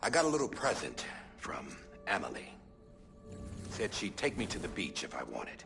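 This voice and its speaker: An actor playing a masked male director who gives a secretive recorded message in a hushed, confiding way. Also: deep voice, huge pitch swings, normal speed, gravelly, over music, full character voice. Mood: grave. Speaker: director of an organization.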